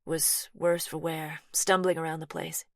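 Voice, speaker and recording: A woman voicing an anxious, softly spoken young American woman with a light twang. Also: low voice, level tone, measured pace, dry recording, clean studio sound. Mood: defensive, anxious. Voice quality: soft, firm.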